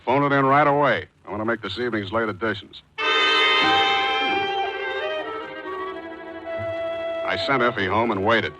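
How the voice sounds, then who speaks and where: An actor playing a wisecracking, brusque private detective, with clipped, cynical delivery and first-person narration in 1940s radio style. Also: male voice, lowish voice, fast talker, dull audio, lightly acted. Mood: brusque, wry. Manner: clipped, terse questioning. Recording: 1940s radio drama with music.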